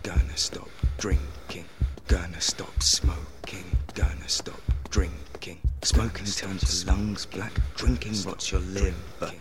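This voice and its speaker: An actor playing an anxious, self-deluding man muttering clipped, repetitive resolutions to himself in a breathy half-whisper, as an audio drama inner monologue. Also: deep voice, fairly even intonation, takes it slowly, music in the background. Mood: determined muttering.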